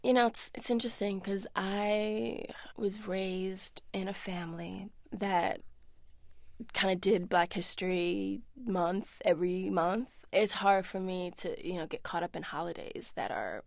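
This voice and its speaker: A woman's voice: mid-range voice, a little slow, creaky, no echo. An actress in her thirties from the United States, talking candidly and reflectively in a remote interview and acting out voices when she quotes people. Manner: animated, acts out quoted voices. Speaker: actress and guest. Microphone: studio remote link.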